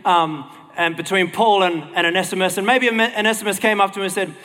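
An Australian man preaches in a fairly high voice, ringing through a hall with long reverb. He keeps it informal and chatty, like someone talking a group through a story. His delivery is wry and self-deprecating. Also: fairly even intonation, a little fast, pushed and bright. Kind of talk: casual conversational sermon to a congregation.

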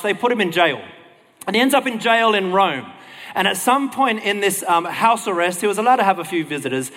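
An Australian man preaches in a fairly high voice, ringing through a hall with long reverb. He keeps it informal and chatty, like someone talking a group through a story. His delivery is wry and self-deprecating. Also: fairly bright tone. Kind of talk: casual conversational sermon to a congregation.

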